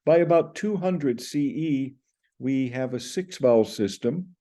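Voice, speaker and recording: A man's voice: medium pitch, lively intonation, a little slow, mellow. An older man teaching Greek pronunciation in an explanatory lecture, speaking to a webcam indoors. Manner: explanatory, gestures with hands, recites Greek sounds.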